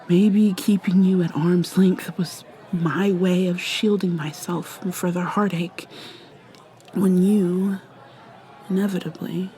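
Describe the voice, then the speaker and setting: Woman playing an ageless vampire mermaid, whispering. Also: on the low side. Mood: wistful. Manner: soft-spoken, often whispering, halting restarts. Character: ageless secretive female vampire mermaid, guarded. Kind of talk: ASMR roleplay.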